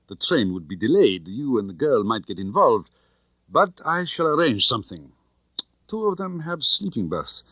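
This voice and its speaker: Man acting tough jovial spymaster, confident, expansive, theatrical, animated pitch, audiobook drama. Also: dry recording. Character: big, tough, cheerful, confident foreign spy chief, male.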